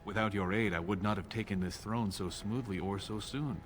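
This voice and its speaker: A man's voice: fairly low pitch, a little fast, faint music behind, natural voice. A man playing an imperious newly crowned dwarf king in a fantasy video game, speaking in a formal, commanding way. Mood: formal, satisfied.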